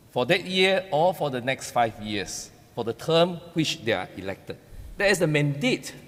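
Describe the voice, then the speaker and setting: A man with a Malaysian accent speaking as an assembly member in a parliamentary debate, in a halting, repetitive, argumentative manner. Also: medium pitch. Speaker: opposition state assembly member. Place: large legislative chamber. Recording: echoey hall with long reverb.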